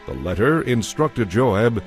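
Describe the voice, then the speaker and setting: A man narrating a dramatized audio Bible from a script, in a measured storytelling manner. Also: mid-range voice, big pitch swings, soft background music.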